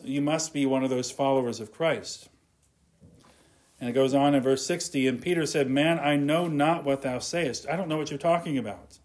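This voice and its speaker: A man's voice: medium pitch, a little mellow, low-quality recording. A man preaching an earnest sermon, reading scripture and retelling it in his own words. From not from Scotland.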